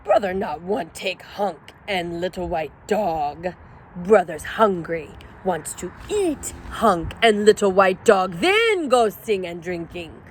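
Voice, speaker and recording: A woman doing a slow, gruff coyote Snort. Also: on the low side, expressive, slight background noise. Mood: menacing. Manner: broken, clipped speech, slow and deliberate. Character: simple-spoken male coyote, gruff and menacing.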